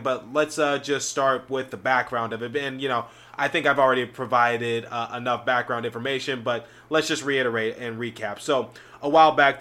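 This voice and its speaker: A man's voice: medium pitch, bright, forceful tone. A man with a sore, slightly scratchy throat, hosting a sports podcast in a rambling, chatty way with many fillers. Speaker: podcast host. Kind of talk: casual sports podcast talk.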